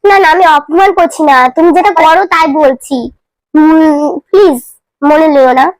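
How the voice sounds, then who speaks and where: A woman's voice: sits high, moderate pace, no echo, poor audio. A woman making a prank call, chatting in a teasing, casual way. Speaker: prank caller. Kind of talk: casual teasing phone chat.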